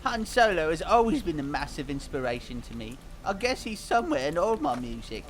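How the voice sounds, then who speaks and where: A man's voice: fairly high pitch, very animated, a little fast, a little hiss or hum, poor audio. A man playing a dim, earnest young cartoon band singer who rambles hesitantly and naively through a scripted mock interview. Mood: naive, pleased.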